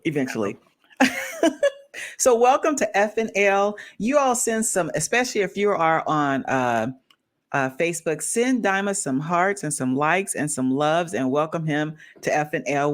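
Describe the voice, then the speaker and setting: Bubbly woman host of a live show. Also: low-pitched. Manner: bubbly, enthusiastic.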